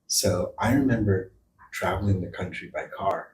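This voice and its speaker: A man's voice: deep voice, moderate pace, a little hiss or hum, low-quality recording. A man born in India and raised in the United States, a surgeon guest, telling stories in an easygoing way with some fillers. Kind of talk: casual interview chat.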